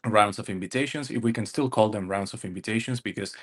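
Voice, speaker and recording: A man from Argentina, speaking, presents a webinar in a somewhat low voice. He hesitates often, restarting phrases and filling pauses as he explains. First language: Spanish. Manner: hesitant, many fillers and restarts. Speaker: immigration consultant.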